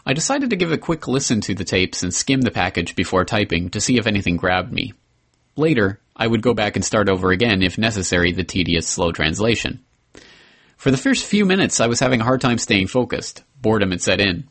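A man hosting a podcast, reading a memoir excerpt aloud in an earnest, measured way with the odd slip and restart. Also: lowish voice, big pitch swings, a bit bright.

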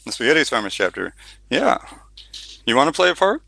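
A man's voice: medium pitch, very animated, a little fast, vocal fry, bright, forceful tone, husky, no echo. A middle-aged man, a novelist, talking casually about his book in an interview with many fillers and restarts, then reading a passage aloud. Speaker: novelist guest.

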